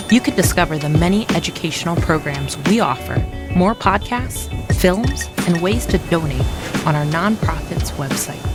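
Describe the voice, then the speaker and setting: A woman giving a scripted narration in a bright, playful read. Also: lowish voice. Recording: narration over loud music.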